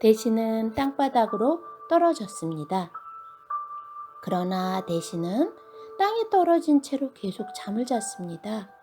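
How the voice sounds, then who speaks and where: A woman reads a children's bedtime story with very lively intonation. Her voice has a creaky edge and often sinks to a dramatic hush, over faint background music. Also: a little high.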